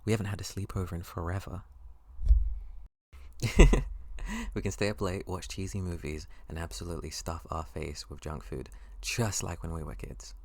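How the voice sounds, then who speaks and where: A man acts out a young college best friend for an ASMR roleplay, with a very deep voice full of fry. He is excitable, affectionate and playful, gushing and rambling in a close, dry sound. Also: normal speed, long pauses, no echo. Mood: excited, grateful.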